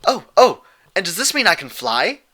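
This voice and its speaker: A man voicing a hyper, giddy young female cartoon pony who gushes excitedly in a fan story reading. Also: sits high, normal speed, pitched above the performer's own voice, heavily acted. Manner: excited, gushing.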